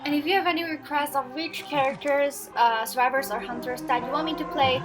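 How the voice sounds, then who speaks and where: A woman's voice: high-pitched, steady tone, fairly quick. A young woman with a Cantonese accent, chatting casually and telling stories while she plays, with fillers and restarts. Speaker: gaming vlogger. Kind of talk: casual storytime over gameplay. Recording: voice-over with loud game music.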